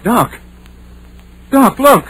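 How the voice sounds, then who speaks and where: A man's voice: very high voice, very animated, very slow, a bit bright, full character voice. An actor playing a fearful, superstitious rustic townsman, nervous and pleading, in a 1930s radio drama. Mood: alarmed. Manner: nervous, hesitant, pleading, folksy. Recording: noisy old 1930s radio recording.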